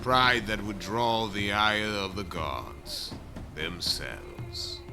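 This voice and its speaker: A man's voice: fairly low pitch, huge pitch swings, very slow. A man narrating an epic audio drama in a solemn, portentous, scripted storytelling style. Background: music.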